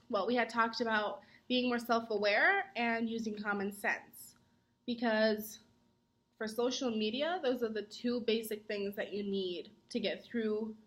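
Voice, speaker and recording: A young adult woman, a student, giving earnest answers in a mock interview, often pausing on "um". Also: on the high side, fairly bright tone. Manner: earnest, many "um" fillers; says she talks fast when nervous.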